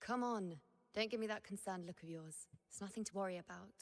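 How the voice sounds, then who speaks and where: An actress playing a proud, injured young woman in a video game, stubbornly self-reliant, with a breathy, husky voice. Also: medium pitch, fairly quick, long reverb tail, natural voice. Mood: brushing off concern. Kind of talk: dramatic video game voice acting.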